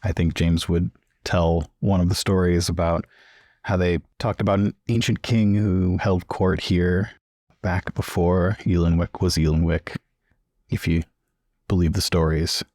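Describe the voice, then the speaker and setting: A man's voice: low voice, level tone, measured pace, darkish tone, no room sound, clean studio sound. A man playing a tabletop roleplaying game, moving casually between in-character talk and narrating what his character does.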